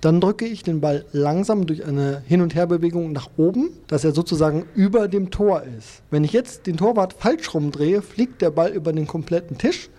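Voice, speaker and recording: A man's voice: fairly high pitch, expressive, normal speed, few pauses, warm tone. A man in his thirties from Hannover, a table football player, explaining techniques and rules precisely, step by step. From Germany, Hannover. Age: 30s. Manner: precise, explanatory, walks through trick shots step by step. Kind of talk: interview statements.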